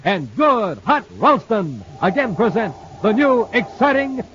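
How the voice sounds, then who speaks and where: A male radio announcer reading ads and promos in a booming, salesy, emphatic 1950s style. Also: very animated, measured pace. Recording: muffled old radio transcription with faint hiss.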